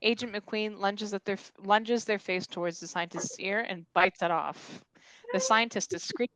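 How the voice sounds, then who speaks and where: A woman narrates the stage directions of a comedy script at a table read. Her voice sits at an average pitch, and the sound is dry. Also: steady tone, moderate pace, a bit bright, dry recording, poor audio.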